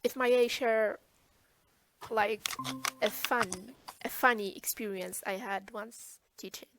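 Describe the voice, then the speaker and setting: A woman's voice: fairly high pitch, fairly even intonation, slow, gravelly, noisy background. A woman from eastern Algeria with an Algerian accent, hosting a webinar conversation as moderator and English teacher. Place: online video call.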